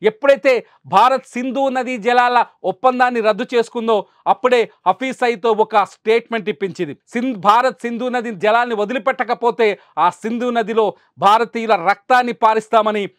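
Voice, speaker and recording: A man's voice: very high voice, moderate pace, a bit bright, clean tone, no echo. A man presenting news commentary emphatically, repeating phrases for rhetorical weight.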